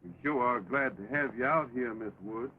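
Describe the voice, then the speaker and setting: An actor playing a gentle, teasing young cowhand with a folksy American drawl in an early talkie film. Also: male voice, medium pitch, moderate pace, lightly acted. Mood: courteous. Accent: American, cowboy drawl. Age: young adult. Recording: crackly, tinny 1920s film soundtrack.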